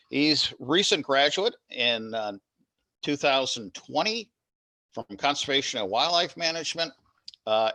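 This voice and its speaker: A man hosting a seminar and introducing the speaker, with a few pauses and fillers. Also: mid-range voice, bright, forceful tone, no room sound. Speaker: seminar host. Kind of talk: formal introduction.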